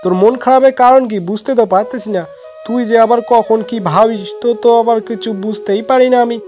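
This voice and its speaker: Casting: performer as fussy, fearful village father for animation, repetitive scolding, clear music backing. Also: medium pitch, fast, close to an everyday voice. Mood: concerned. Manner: repetitive, rustic scolding talk. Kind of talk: amateur cartoon voice acting. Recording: loud music behind the voice.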